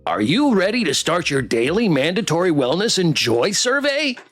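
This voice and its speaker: A man's voice: high voice, big pitch swings, normal speed, fairly bright tone, no room sound, faint music behind, exaggerated voice. A man voicing a condescending, blunt talking plushie toy in a visual novel game. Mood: officious. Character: snippy, condescending talking plushie toy pet with big eyes. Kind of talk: visual novel character read aloud.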